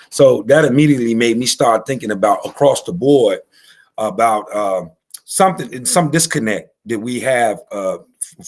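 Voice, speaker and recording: A man's voice: mid-range voice, breathy edge. Rambling man hosting a video-call panel. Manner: rambling, halting, many fillers. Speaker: panel host. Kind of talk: casual panel discussion.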